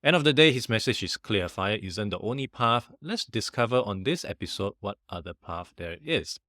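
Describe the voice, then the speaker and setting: A man's voice: fairly low pitch, lively intonation, moderate pace, sharp tone, no echo, studio quality. A man hosting a podcast, reading a scripted introduction and then asking questions with friendly curiosity. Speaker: podcast host.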